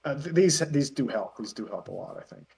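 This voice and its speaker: A man's voice: lowish voice, sing-song, brisk, gravelly, mellow. A man in his thirties chatting casually as an interview guest, telling rambling, chuckly stories. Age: 30s. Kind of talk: casual chat.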